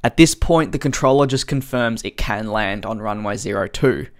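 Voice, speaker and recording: A man hosts a news update, narrating a semi-scripted explanation in an earnest, measured way. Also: on the low side. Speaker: aviation news channel host.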